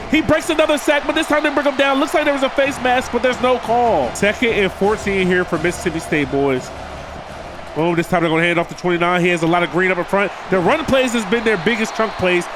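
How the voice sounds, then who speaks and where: A man with a high voice, nasal and congested from a cold, calling the action of a football video game for his viewers. He talks to them like buddies and pushes up on the big plays. A steady hiss of noise sits under the recording. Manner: addresses viewers as "boys", hypes big plays. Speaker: gaming video creator. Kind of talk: play-by-play commentary over a football video game.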